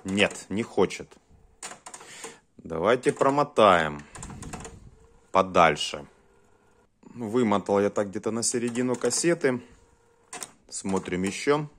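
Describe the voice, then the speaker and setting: A man giving casual hands-on commentary as a video host, talking haltingly in short muttered phrases. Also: on the low side, expressive, fairly bright tone, a little hiss or hum. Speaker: host of a cassette deck test vlog.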